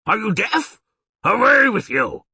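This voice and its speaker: Gruff beast-man, raspy, sharp and forceful, blustering, indignant, fantasy audiobook monster. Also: sits high, sing-song, measured pace, no echo, pitched above the performer's own voice, heavily acted. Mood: roaring threats. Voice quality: raspy, roaring. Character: huge gruff bear-headed humanoid monster, blustering and irascible.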